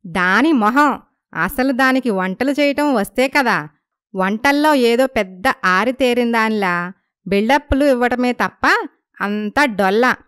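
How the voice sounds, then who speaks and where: A woman voicing a friendly, flattering village sister-in-law who gossips, in a moral story. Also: fairly high pitch, a little slow, smooth, dry recording, clean studio sound. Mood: coaxing, catty.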